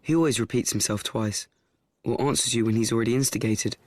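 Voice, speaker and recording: A wry teenage boy, played by an actor, with a medium-pitched male voice. He narrates his own life in a radio play, frank and awkward, in a deadpan, confiding, self-deprecating way. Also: fast talker, a little hiss or hum, natural voice.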